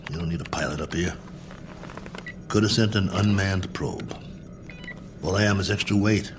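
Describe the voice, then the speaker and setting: An actor playing a lonely, bored astronaut colonel in a radio drama, speaking in a wry, musing, self-mocking manner. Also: male voice, deep voice, moderate pace, background noise, close to an everyday voice. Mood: wry, wistful. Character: lonely, bored male astronaut colonel, lone probe pilot. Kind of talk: radio drama acting, spoken log entries.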